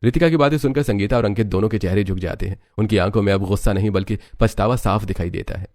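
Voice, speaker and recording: Fast, low-pitched male story narrator. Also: a little mellow, no room sound, studio quality. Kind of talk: scripted dramatic narration of a Hindi family cartoon story.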